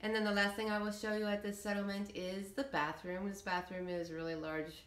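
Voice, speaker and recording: A woman's voice: mid-range voice, level tone, fairly quick, a bit bright. A woman giving a casual, hesitant, meandering walkthrough commentary of a game build. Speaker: video creator showing game settlement builds. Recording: roomy, echoey room sound.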